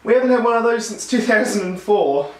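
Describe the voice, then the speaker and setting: A hoarse man who is losing his voice, giving a wry, enthusiastic scripted film review. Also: sits high, expressive, fairly quick, music in the background. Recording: roomy echo, distant mic.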